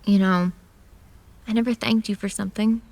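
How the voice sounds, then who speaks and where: A woman's voice: mid-range voice, steady tone, normal speed, vocal fry, darkish tone, lightly acted. A woman playing a guarded, wry young woman who sees monsters in an audio drama, with an understated delivery. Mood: heartfelt. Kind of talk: audio drama acting with first-person narration.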